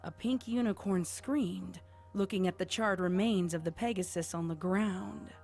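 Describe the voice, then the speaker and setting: A woman's voice: medium pitch, normal speed, gravelly. A woman reading scripted fan fiction as the story's narrator. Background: music.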